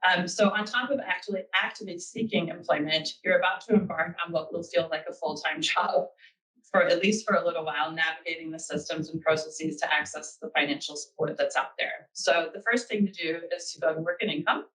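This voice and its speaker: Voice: medium pitch, fairly quick, pushed and bright, recorded from a distance, poor audio. A speaker with an American accent giving a webinar talk, earnest and thinking aloud with frequent ums. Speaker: guest speaker.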